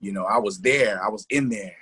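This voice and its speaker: A man's voice: on the low side, a little fast, breathy edge. A middle-aged man reminiscing casually and colloquially, often repeating his phrases. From New Jersey. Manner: colloquial, repeats phrases, gives shout-outs.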